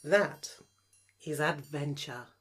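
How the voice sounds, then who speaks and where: A woman's voice: sits low, takes it slowly, fairly bright tone, heavily acted. A British woman playing a rich, eccentric, flippant woman adventurer in an audio drama, posh and theatrical. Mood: grandly enthusiastic. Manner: flippant, theatrical, genteel endearments.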